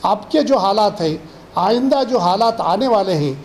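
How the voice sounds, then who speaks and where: A man's voice: sits high, animated, normal speed. A man giving a formal, explanatory press statement as an official spokesman. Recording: clearly noisy background.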